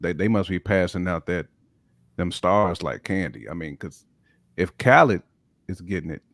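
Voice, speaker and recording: A man's voice: sits low, expressive, normal speed, a bit bright, no echo. A man with an American accent bantering casually as a panelist on a hip hop show, slangy and opinionated. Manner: slangy, opinionated, peppered with "you know what I'm saying".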